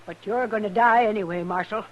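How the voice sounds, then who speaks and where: An actress playing a hard, defiant, vengeful frontier widow in a 1950s radio western, with blunt, accusing, folksy rural speech. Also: female voice, mid-range voice, brisk, highs cut off, natural voice. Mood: bitter, threatening.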